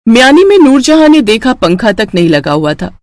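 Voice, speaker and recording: A woman's voice: fairly high pitch, very animated, fairly quick, darkish tone, no echo. A woman narrating a scripted moral story for children.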